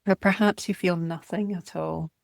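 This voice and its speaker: A woman meditation teacher leading a guided body scan in a calm, gentle, measured way, speaking in short phrases. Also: lowish voice, creaky, no echo, clean studio sound.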